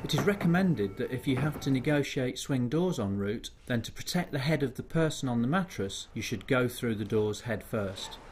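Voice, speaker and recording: A man reading a scripted voice-over for a healthcare evacuation training video, measured and formal. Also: medium pitch, expressive, a little hiss or hum. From United Kingdom.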